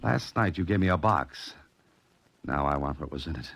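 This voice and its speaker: An actor playing a cool, wry, tough mystery novelist turned sleuth in a 1940s radio drama. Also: male voice, very deep voice, lively intonation, normal speed, fairly bright tone, husky, no room sound, heavily acted. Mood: pressing, firm. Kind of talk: 1940s radio drama acting with first-person narration. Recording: 1940s radio recording with music behind.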